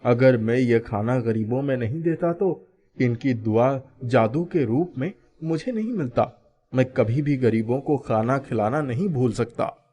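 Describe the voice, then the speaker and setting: A man's voice: mid-range voice, expressive, a little slow, mellow, natural voice. A man voicing a kind-hearted restaurant owner in theatrical, earnest storybook dialogue. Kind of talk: animated storybook dialogue in Hindi.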